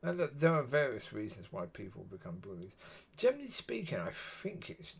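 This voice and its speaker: Man, husky and raspy, musing monologue, mid-range voice. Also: medium pitch, wildly swooping pitch, brisk. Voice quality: husky, gravelly. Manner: musing, repeats yeah often. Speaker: solo video talker. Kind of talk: rambling solo monologue.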